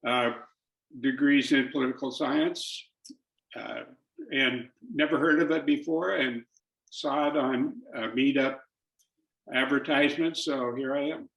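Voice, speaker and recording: A man's voice: mid-range voice, fairly even intonation, a little slow, vocal fry, a bit bright. An American man giving a casual self-introduction as a newcomer, in clipped, fragmentary phrases. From California. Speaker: new attendee. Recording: echoey room over video call.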